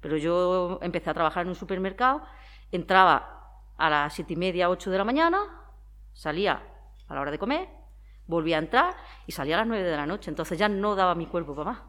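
Lower-voiced Spanish woman in her forties. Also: expressive, fairly quick, a bit bright. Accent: Spanish (Spain). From Madrid, Spain. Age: 40s. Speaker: interviewee, former athlete and town sports councillor. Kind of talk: conversational interview answers.

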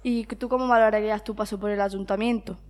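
A woman's voice: medium pitch, steady tone, fast talker. A woman with an accent from Spain, putting prepared questions as an interviewer. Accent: Spanish (Spain).

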